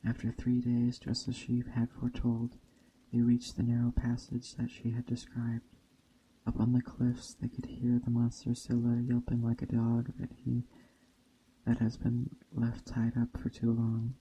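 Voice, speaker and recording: A man reading a myth aloud as a storyteller, measured, with the odd stumble over the text. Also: medium pitch, completely flat delivery, warm tone, slight background noise, rough recording.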